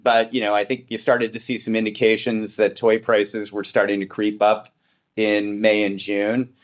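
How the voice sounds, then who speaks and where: A man speaking as a company executive, answering questions in measured business jargon on a conference call. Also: on the low side, monotone. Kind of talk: answering analyst questions, semi-formal business talk. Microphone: conference call line. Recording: muffled conference call audio.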